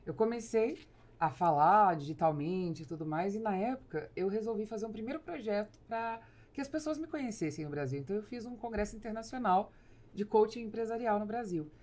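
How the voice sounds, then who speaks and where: A woman's voice: medium pitch, expressive, brisk, darkish tone. A woman with a Brazilian accent, hosting a podcast conversationally at a close studio microphone. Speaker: podcast host. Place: small foam-padded podcast studio. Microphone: studio microphone on boom arm, close.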